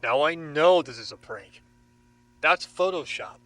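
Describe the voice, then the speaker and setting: A man's voice: a little high, expressive, measured pace, pushed and bright. An actor in an audio drama playing a frightened, defiant young man held captive, with an agitated, confrontational manner.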